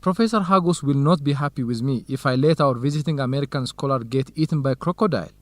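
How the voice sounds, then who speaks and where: An actor with an Ethiopian accent playing an organized, courteous, gently grumbling male researcher in an educational radio drama, speaking in a measured, explanatory way. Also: medium pitch, dry recording, close to an everyday voice. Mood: teasing, courteous.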